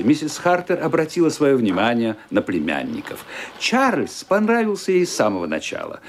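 A man narrating a radio play as scripted dramatic storytelling, in an expressive, theatrical manner. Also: a little high.

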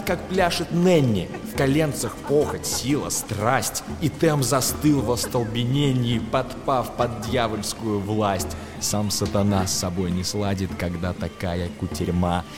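An actor playing an excitable storyteller who relishes a lurid witches' tale, reciting emphatic, theatrical verse for a radio play. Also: mid-range voice, natural voice. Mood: entranced. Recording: music behind the voice. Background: music.

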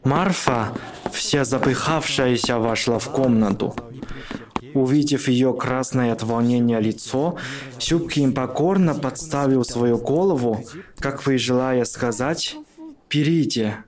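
A man's voice: medium pitch, takes it slowly, a crowd in the background. A man who is a native Chinese speaker, reading scripted narration for a radio play.

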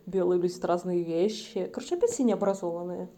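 Host: woman, dark warm timbre, relaxed chat peppered with fillers. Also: lowish voice, fast. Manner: loose, filler-heavy talk. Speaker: podcast host. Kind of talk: casual chat.

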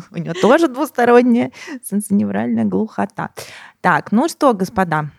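A woman hosting a casual conversation, brisk and lively as she asks questions. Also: medium pitch, gravelly, no room sound, clean studio sound. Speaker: host.

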